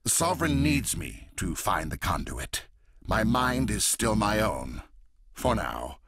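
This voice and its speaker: A man voicing a menacing, fanatical alien warlord for a video game, gravelly and raspy, with a grandiose, deliberate, intense delivery. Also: on the low side, sing-song, a bit bright, dry recording, studio quality, heavily acted. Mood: intense, persuasive. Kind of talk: dramatic video game voice acting.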